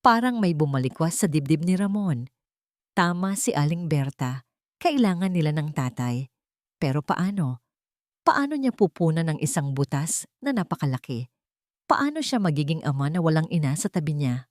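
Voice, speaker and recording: A woman's voice: low-pitched, very animated, moderate pace, dry recording, studio quality. A woman storyteller reading a scripted romance story as dramatic narration.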